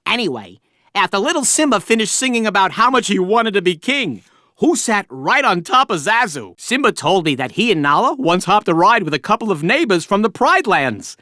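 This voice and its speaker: A man's voice: high-pitched, very animated, normal speed, bright, forceful tone, no room sound, exaggerated voice. A cocky little meerkat hosts a video game quiz, cracking jokes and cackling at his own gags. His voice swoops and bounces all over the place. It is recorded dry and close in a studio. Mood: wisecracking. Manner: jokey, chatty, laughs at own jokes. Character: wisecracking male meerkat quiz host. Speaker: quiz host.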